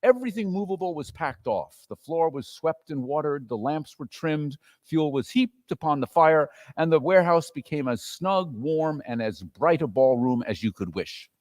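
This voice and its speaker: Guy narrating a classic tale, theatrical, very lively pitch, higher voice. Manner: expressive, theatrical. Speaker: narrator and performer. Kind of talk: dramatic storytelling reading.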